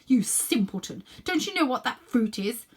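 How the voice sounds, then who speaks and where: Storybook witch queen, acted by a woman reader: loud, imperious, tempting, emphatic. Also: fairly high pitch, sing-song, moderate pace, husky, heavily acted. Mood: urgent, tempting. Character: proud, commanding, tempting evil witch queen. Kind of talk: children's storybook character reading.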